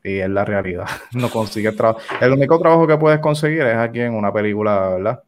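A man's voice: on the low side, lively intonation, quick pace, a little hiss or hum. A man with a Puerto Rican accent, an actor guest chatting casually with many fillers. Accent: Puerto Rican Spanish. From Puerto Rico. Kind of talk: casual chat.

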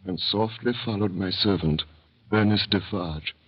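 An actor playing an embittered, anguished imprisoned doctor, narrating gravely and deliberately in old radio drama style. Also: male voice, deep voice. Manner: grave, measured, declamatory. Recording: dull vintage radio recording.

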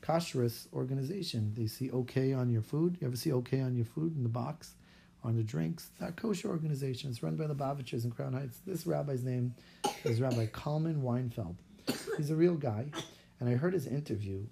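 A man's voice: mid-range voice, a little fast, vocal fry, dark tone, slight background noise. A male teacher telling a story to his class in a casual, explaining way.

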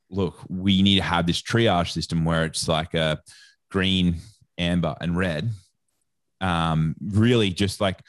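Man, Australian accent, low-pitched voice, casual interview storytelling, informal and slangy, dry close sound. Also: no room sound. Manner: informal, slangy, often quoting others in reported speech. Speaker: interview guest, sports nutrition educator.

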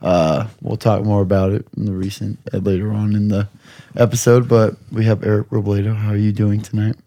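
A young man hosts a podcast with a hoarse, slightly low and warm voice. He rambles and swears, making jokes as he goes. The sound is dry and close. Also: flat delivery, brisk, dry recording. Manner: rambling, profane, jokey. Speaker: podcast host. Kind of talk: casual chat.

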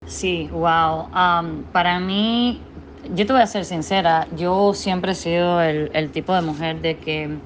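A woman's voice: on the low side, fairly even intonation, slow pace, slight background noise. A Cuban woman in her thirties with a Cuban accent, a podcast guest speaking earnestly and dropping in English "so" now and then. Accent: Cuban Spanish. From Cuba. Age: 30s. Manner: earnest, drops English fillers like "so". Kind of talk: podcast interview. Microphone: remote call.

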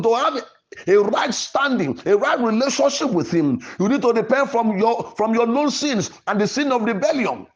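A man preaching and leading fervent prayer in an insistent, repetitive, declamatory manner. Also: very high-pitched. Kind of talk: fervent deliverance sermon and prayer.

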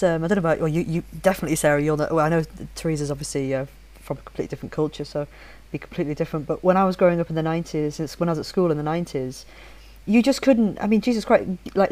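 Chatty woman with a faint northern accent. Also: lowish voice. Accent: soft northern English, mostly neutral. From Leeds, England. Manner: chatty, animated, restarts often. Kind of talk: casual chat.

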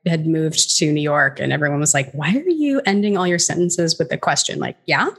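Husky-voiced woman interviewer. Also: low-pitched, lively intonation, fairly quick. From Hawaii. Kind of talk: casual interview chat.

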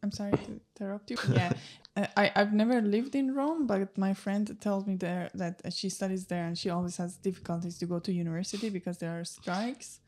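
Woman co-host, mid voice, quick chatty asides. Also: medium pitch, steady tone, darkish tone. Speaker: podcast co-host. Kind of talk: casual interview chat.

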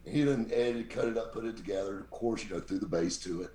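A man, telling stories as a guest in a casual chat. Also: fast talker. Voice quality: very deep voice. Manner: animated, mimics voices in his stories. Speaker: podcast guest, radio show host. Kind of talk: casual storytelling. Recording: echoey room.

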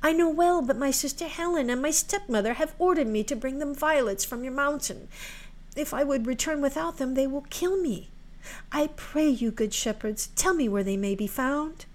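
A meek, unhappy young girl from a fairy tale, voiced by a woman narrator. Her voice is lifted high, and she pleads in earnest, courteous, old-fashioned phrases. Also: moderate pace, natural voice. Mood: pleading. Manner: earnest, polite, archaic pleading. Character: meek, pleading orphan girl sent into winter mountains.

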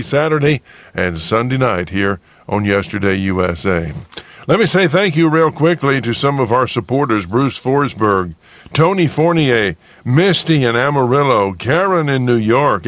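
A man's voice: medium pitch, fairly bright tone, dry recording. A man hosting radio, talking off the cuff in a folksy, meandering way with small exclamations. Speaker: radio host. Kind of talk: unscripted radio chat.

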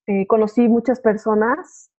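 A woman's voice: a little high, steady tone, unhurried, warm tone. Young mexican girl guest on a podcast, chatty n informal, sounds like shes on a phone call. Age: young adult. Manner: chatty, informal, self-correcting. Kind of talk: casual chat, personal story. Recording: narrow phone-like remote call audio.

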